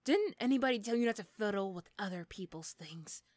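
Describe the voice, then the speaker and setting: A woman plays a female supervillain for an ASMR roleplay, threatening and teasing in the same breath. She is sardonic and begrudgingly fond, and the sound is dry and close, at a medium pitch. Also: lively intonation, brisk, a little mellow, dry recording, close to an everyday voice. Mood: dry, casual.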